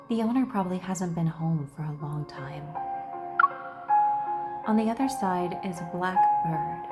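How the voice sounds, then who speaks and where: A woman with a slightly low voice narrates a game's story text aloud. She drops in casual commentary as she goes, while music plays loudly behind her. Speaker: gaming commentator. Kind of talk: reading game text aloud with casual reactions. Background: loud game music.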